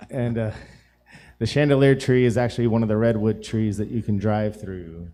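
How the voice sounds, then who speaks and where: A man chatting as a guest musician on a live show, his voice raspy and hoarse, relaxed and full of fillers. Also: lowish voice, normal speed. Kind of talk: casual chat on a live show.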